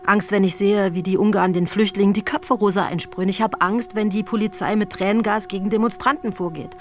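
A woman's voice: medium pitch, steady tone, brisk, slight background noise, lightly acted. An actress in a radio play voicing a jaded, outspoken woman actress in recovery, blunt, sardonic and confessional. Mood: anxious, confessional. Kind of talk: modern radio play acting.

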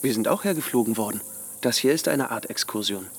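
An actor playing a laconic, evasive man in an audio drama, with a deadpan manner. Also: mid-range voice, fairly quick, a little hiss or hum, lightly acted. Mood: dry, evasive. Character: evasive, laconic man hiding something from his companion. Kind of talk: German audio drama acting.

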